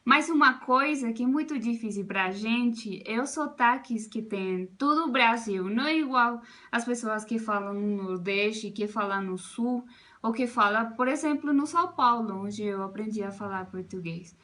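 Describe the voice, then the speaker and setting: Bright-voiced woman. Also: fairly high pitch, normal speed. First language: Spanish. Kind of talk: casual testimonial.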